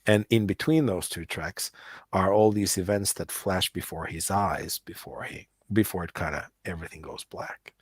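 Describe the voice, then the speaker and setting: A man's voice: low voice, normal speed, breathy edge, no room sound. A middle-aged man from the United States, a musician talking about his work in a casual interview, rambling with many restarts. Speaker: musician guest.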